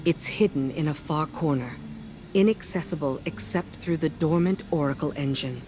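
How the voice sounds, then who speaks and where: A woman playing a stern, war-weary military commander in a video game, speaking firmly and gravely. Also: low-pitched, normal speed, mellow, close to an everyday voice. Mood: grim, weary. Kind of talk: scripted game dialogue.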